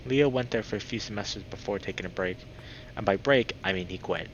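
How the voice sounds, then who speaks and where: A man reading a visual novel aloud as a streamer, with expressive reactions. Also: fairly low pitch, fairly quick. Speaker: video game streamer. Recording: dull lo-fi mic with clear background noise.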